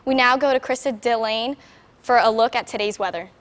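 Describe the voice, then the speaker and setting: A woman's voice: a little high, a little fast. A young woman reading scripted student news as an anchor. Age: young adult.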